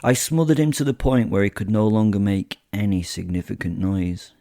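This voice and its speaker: A man's voice: fairly low pitch, fairly even intonation, moderate pace, dark tone, dry recording, studio quality, lightly acted. A man voicing a sadistic werewolf killer, narrating a horror audio drama in a theatrical, savouring, wordy way. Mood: gloating, amused. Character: sadistic gloating male werewolf killer telling his hunt.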